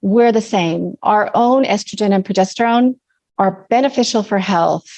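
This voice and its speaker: A middle-aged woman from Canada with a mixed Canadian and New Zealand accent, a naturopathic doctor explaining calmly in a podcast interview. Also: medium pitch, normal speed.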